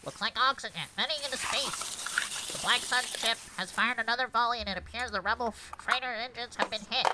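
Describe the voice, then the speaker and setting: A woman's voice: on the high side, brisk, close to an everyday voice. A woman playing a dutiful droid co-pilot in a script read, giving formal, precise status reports. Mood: formal reporting. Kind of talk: fan script table read, play-by-play reporting. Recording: clear background noise.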